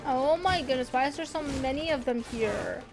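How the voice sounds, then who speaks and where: A woman's voice: high-pitched, normal speed. A woman giving casual commentary as she streams her gameplay. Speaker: game streamer. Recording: game music behind her voice.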